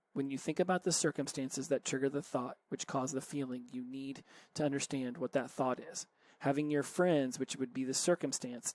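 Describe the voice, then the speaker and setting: A man's voice: on the high side, a little mellow, dry recording. A man hosting a solo self-help podcast, talking earnestly and conversationally with frequent self-corrections. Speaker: podcast host.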